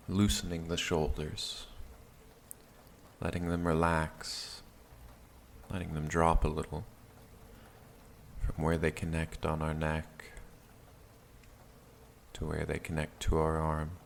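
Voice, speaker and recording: Male meditation guide, low-pitched and unhurried, calm and gentle, over a steady rain backtrack. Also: vocal fry, a little hiss or hum. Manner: calm, soothing, gentle phrasing with long pauses. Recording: steady rain sound behind the voice.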